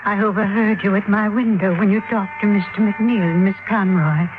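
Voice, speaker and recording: An actress playing a frail, fearful little old widow, speaking gravely and haltingly in old-time radio drama style. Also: female voice, mid-range voice, fairly even intonation, over music, natural voice. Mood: bitter, weary. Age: older. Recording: tinny, noisy old radio recording.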